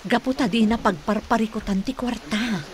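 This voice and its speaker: A woman's voice: on the high side, moderate pace, lightly acted. An actress with an Ilocano accent playing a deferential female household helper in a radio drama. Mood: sympathetic. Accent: Northern Philippine (Ilocano).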